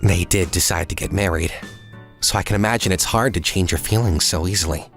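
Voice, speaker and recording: A man's voice: deep voice, fairly quick, creaky, fairly bright tone, faint music behind. A man playing an earnest, polite young businessman who narrates his own story in a comic drama dub. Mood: polite, measured. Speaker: voice actor narrating and playing the lead.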